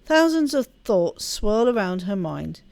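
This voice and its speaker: A woman reading a story aloud as expressive narration for a game playthrough. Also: mid-range voice, unhurried, gravelly, no room sound. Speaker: let's play host reading game text aloud.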